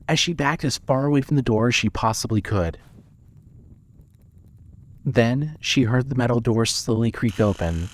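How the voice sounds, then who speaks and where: A man reading scripted material as the narrator and announcer of a fiction podcast. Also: medium pitch.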